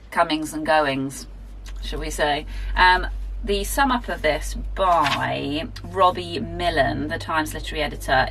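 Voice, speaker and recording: A woman's voice: sits low, a bit bright, slight background noise, poor audio. Chatty woman, rambling. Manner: rambling, self-deprecating, with fillers and laughs. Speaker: book vlogger. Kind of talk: casual chatty vlog. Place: garden shed, rural area.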